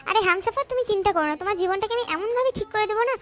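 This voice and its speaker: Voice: very high-pitched, rapid-fire, faint music behind. A performer voicing a brash, flirtatious female cartoon dog who sweet-talks in gushing, speech. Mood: coaxing, romantic. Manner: gushing, cajoling, coarse colloquial talk. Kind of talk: comic cartoon dub, melodramatic romance.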